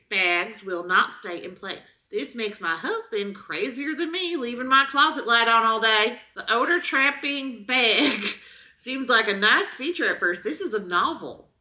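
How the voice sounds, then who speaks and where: Bright-voiced Southern woman, dry comic reader. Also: fairly high pitch, animated, a little slow. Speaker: comedy show co-host. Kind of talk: reading product reviews aloud with casual banter.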